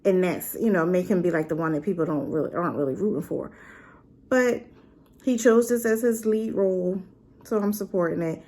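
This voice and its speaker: A woman's voice: lowish voice, fairly quick. A woman casually reviewing a drama in a chatty, rambling way, full of fillers and asides. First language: not Korean. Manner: animated, with rhetorical questions.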